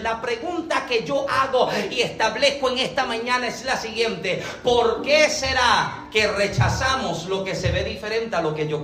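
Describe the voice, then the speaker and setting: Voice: medium pitch, sharp tone. Puerto rican preacher giving a sermon in spanish accent from the island, super echoey, mic sounds far off. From Puerto Rico. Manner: rhetorical questions, repeatedly checking that listeners follow. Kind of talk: sermon to a congregation. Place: large echoey room, church service. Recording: echoey hall, distant mic, music behind.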